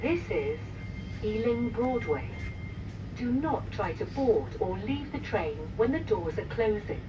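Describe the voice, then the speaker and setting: Under loud train noise, a British woman delivers formal public transport announcements. Her measured phrasing moves up and down in pitch, and she comes through muffled, as if from a distant speaker. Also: sing-song. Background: loud train rumble and carriage ambience.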